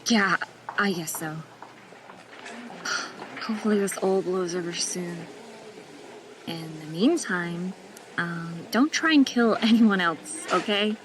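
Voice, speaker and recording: An actress playing a dry, skeptical hospital worker in an audio drama. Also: female voice, lowish voice, slow, pauses often, music in the background.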